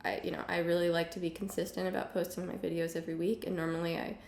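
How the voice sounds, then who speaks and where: Hoarse young woman, gravelly and roomy. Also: on the low side, fast talker, slight background noise. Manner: relaxed chatty with many ums, coughs now and then. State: hoarse from illness. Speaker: music teacher. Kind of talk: casual online lesson. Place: home room with piano and guitars.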